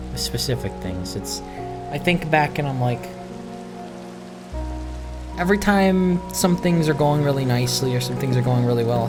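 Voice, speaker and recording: A man giving a casual, introspective monologue over gameplay, speaking in a halting, musing way and repeating phrases for emphasis. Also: medium pitch. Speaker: host of a gaming video series.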